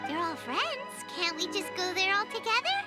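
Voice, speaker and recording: Super high squeaky little fairy pal, chatty and perky, anime game, music loud under it. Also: female voice, lively intonation, normal speed. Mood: curious. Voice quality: squeaky, childlike. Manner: perky, blurting. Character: small excitable floating fairy companion, childlike and chatty. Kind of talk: anime video game voice acting.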